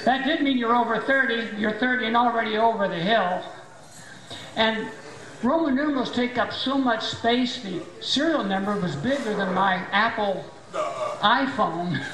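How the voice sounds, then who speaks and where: An older man in his seventies works a small bar crowd with a handheld mic. His voice is thin and squeaky after surgery, and his self-mocking jokes come out dry. The room is echoey and full of audience noise. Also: measured pace. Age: 70s. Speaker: stand-up comedian. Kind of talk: stand-up comedy set. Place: small tavern stage with audience.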